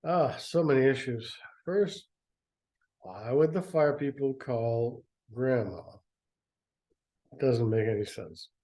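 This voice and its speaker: A man's voice: medium pitch, takes it slowly, pauses often, warm tone. A man reading a story aloud and breaking off into sarcastic, mocking asides, often stammering. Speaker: reader and commentator. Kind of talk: dramatic reading with sarcastic commentary.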